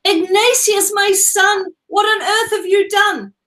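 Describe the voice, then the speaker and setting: A woman's voice: extremely high pitch, steady tone, takes it slowly, a bit bright, a little hiss or hum, voice pushed up, heavily acted. A woman voicing a doting, easily appalled mother of a toddler in a children's picture book, with dramatic exclamations. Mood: appalled, disgusted.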